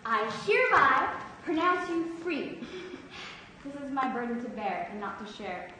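A woman's voice: high voice, animated, measured pace, bright, forceful tone, full character voice. A teenage girl playing a hurt, proud schoolgirl who speaks in rhyme in an amateur stage play. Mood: solemn. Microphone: distant camera microphone. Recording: echoey theatre stage, far off, noisy.

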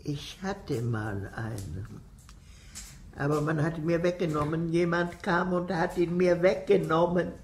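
Slow, cunning old lady. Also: deep voice, a little mellow, roomy sound, slight background noise. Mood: sly, plaintive. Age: older. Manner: slow, pleading, commanding. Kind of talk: German radio play acting.

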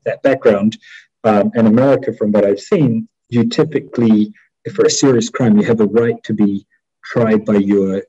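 A middle-aged man with a New Zealand accent, explaining as an interview guest in a measured, hesitant way over a headset on a video call. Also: on the low side, warm tone, husky. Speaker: interview guest, war crimes prosecutor. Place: small indoor room at home. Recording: dull video-call audio.

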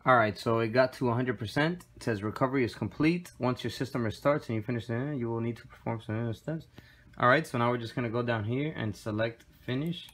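A man's voice: mid-range voice, brisk. A man hosting a casual tech tutorial, explaining steps one by one with frequent fillers, recorded on a handheld phone camera in a room. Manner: relaxed, step-by-step with frequent fillers. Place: indoor room with desk and computer.

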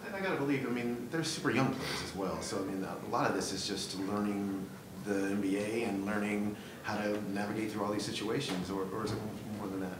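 Male reporter, low voice, off-mic in a very echoey room with noise, halting questions. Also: far from the mic. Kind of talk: press conference questions.

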